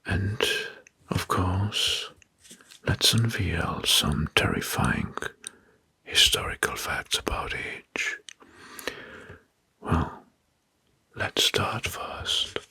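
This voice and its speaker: Male ASMR narrator: very slow, breathy whisper, deliberate delivery of history facts. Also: low voice, no echo. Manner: slow, deliberate, often repeating names and phrases softly.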